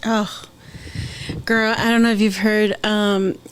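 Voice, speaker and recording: A woman hosting a podcast, chatting warmly with her guest and slipping Spanish phrases into her English. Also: mid-range voice, little pitch movement, takes it slowly, slight background noise, low-quality recording. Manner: warm and chatty, mixing in Spanish phrases, calls guest "girl". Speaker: podcast host. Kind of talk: casual podcast interview.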